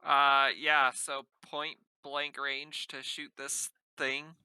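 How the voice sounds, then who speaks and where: Male player, slow and deliberate, bright crisp voice, rule-checking. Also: medium pitch, completely flat delivery, no room sound, rough recording. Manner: eager, checks the rules. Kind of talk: playing a tabletop game.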